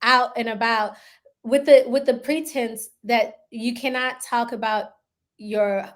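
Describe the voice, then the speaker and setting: A woman co-hosting a casual podcast chat, agreeable and quick to laugh. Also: a little high, steady tone. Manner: warm, agreeable, laughs easily. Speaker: podcast co-host.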